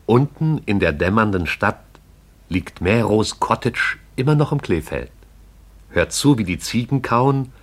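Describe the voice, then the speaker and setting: An actor with a husky voice as the poetic, all-seeing narrator of a radio play. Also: male voice, on the low side, lively intonation, measured pace. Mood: lyrical, wry.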